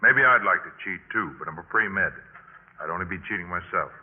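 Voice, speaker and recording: A dry, plain-spoken young student in an old radio play. His voice is low and understated. It comes through a narrow, tinny band with long reverb around it. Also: animated, a little fast, a little hiss or hum. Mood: wry. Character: wry, plain-spoken young male pre-med student. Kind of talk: 1950s radio drama acting.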